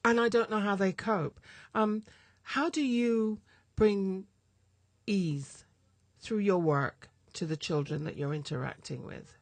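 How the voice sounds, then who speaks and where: A woman's voice: mid-range voice, takes it slowly, dry recording. A woman from England hosting an interview, asking thoughtful questions with an English accent. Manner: thoughtful questioning, supportive murmurs. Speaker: host. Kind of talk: casual interview.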